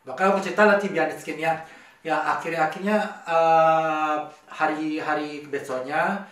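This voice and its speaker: A man with an Indonesian accent telling a personal story casually to camera, halting and repeating his words, mixing in some English. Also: on the high side, steady tone, fairly bright tone. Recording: very echoey room, distant mic.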